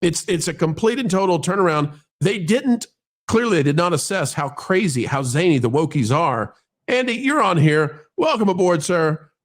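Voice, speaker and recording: A man's voice: a little high, lively intonation, normal speed. A man hosting an opinionated talk show, speaking emphatically and repeating himself for effect. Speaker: host of an entertainment news talk show. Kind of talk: opinionated commentary to co-hosts and audience.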